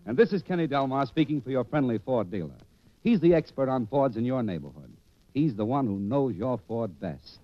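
An American man announcing a 1940s radio show and reading its sponsor pitch. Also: sing-song, a little fast. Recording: dull 1940s radio recording.

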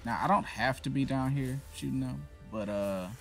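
A man's voice: mid-range voice, moderate pace, vocal fry. A man giving casual gameplay commentary, talking to the enemies on screen and trash-talking them with plenty of swearing. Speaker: gaming channel host. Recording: voice over loud game music.

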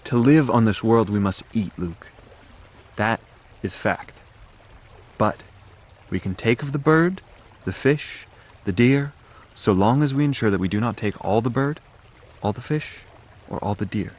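A man playing a calm, self-assured outdoorsman mentor in an audio drama, measured and instructive, given to philosophy. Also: on the low side. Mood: firm, earnest. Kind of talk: post-apocalyptic audio drama acting.

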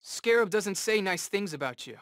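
An actor playing a cocky, eager young rookie superhero in a video game, bantering in casual, wisecracking slang. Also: male voice, high-pitched, expressive, normal speed, fairly bright tone, clean tone. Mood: cocky, teasing. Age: young adult.

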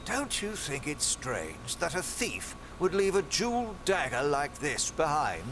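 An actor playing a cold, cruel, wealthy villain who makes calm, sneering threats in a fan audio drama. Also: male voice, fairly high pitch, measured pace, background noise, full character voice. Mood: cold menace. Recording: loud background music.

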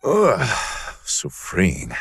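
An actor playing a suave, flirtatious, polite male surgeon with a deep, husky voice in a romantic comedy audio drama. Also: huge pitch swings, extremely slow, dry recording, heavily acted.

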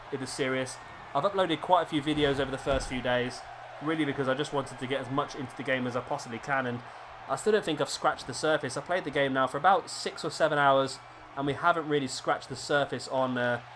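A man commentating a wrestling video game play-by-play, a little hoarse, chatty between moves and excited on the pins. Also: on the high side, noisy background. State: slightly hoarse, losing his voice.

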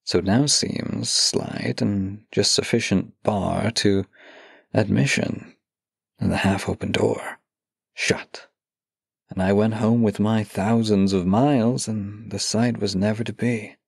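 Male actor as devoted tender lover, low gravelly voice, intimate audio drama, close and dry. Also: steady tone, slow pace, no echo, exaggerated voice. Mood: ardent, earnest. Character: devoted bookish-shy man, tender romantic partner. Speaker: voice actor reading love letters. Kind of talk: intimate audio drama, slow heartfelt letter reading and banter.

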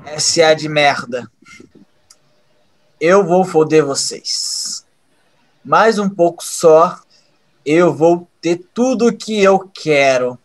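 An actor playing a calm, scheming young man in an audio drama, with a knowing, casual manner. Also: on the high side, takes it slowly, pauses often. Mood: brooding.

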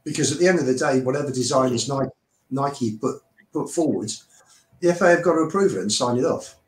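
A middle-aged man, a guest giving brisk, matter-of-fact views on a video call. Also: medium pitch. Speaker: guest panelist. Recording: echoey room.

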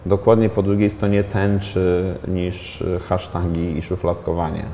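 A man in his thirties, a film director, giving a reflective interview in a studio set, thinking aloud with many pauses and restarts. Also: sits low, little pitch movement, mellow, a little hiss or hum. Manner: thoughtful, hesitant, many fillers and restarts. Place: studio set in a large glass-walled building.

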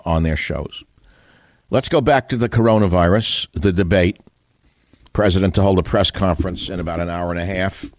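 A man hosting a political talk radio show, forceful and rambling, talking emphatically. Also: low-pitched, dry recording. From New York.

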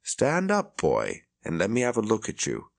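A man's voice: fairly low pitch, huge pitch swings, moderate pace, vocal fry, full character voice. A man voicing a stern, powerful older woman leader who speaks firmly. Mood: commanding. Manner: firm, commanding.

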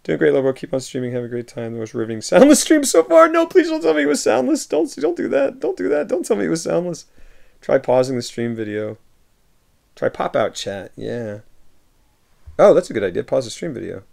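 A man in his thirties from the United States, a livestreamer in a room at home, reading viewer messages aloud and answering warmly through a webcam microphone. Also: on the high side, big pitch swings, a little fast, creaky. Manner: reads viewer messages aloud and replies warmly, often laughing. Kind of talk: casual chat. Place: home room with shelves of films.

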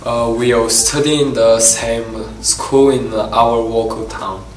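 A man's voice: mid-range voice, steady tone, a little hiss or hum. Teenage Bulgarian-accented boy, casual. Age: teens. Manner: casual, halting with fillers. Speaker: student. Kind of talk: testimonial to camera. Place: council hall, indoors. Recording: roomy hall, distant mic.

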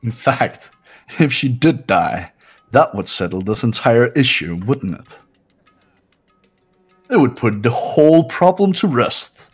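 A man's voice: medium pitch, very animated, takes it slowly, pauses often, husky, exaggerated voice. A man voicing a haughty, cold young man in a video game, condescending and scornful. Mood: cold, taunting. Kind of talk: amateur video game voice-over. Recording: background music throughout.

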